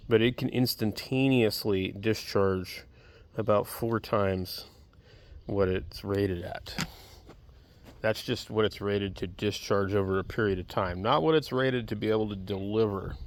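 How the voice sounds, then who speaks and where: A man giving a casual, plainspoken, rambling hands-on demonstration as a radio hobbyist while setting up gear outdoors. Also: fairly low pitch. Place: outdoors in a backyard. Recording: noisy outdoor recording, uneven mic distance.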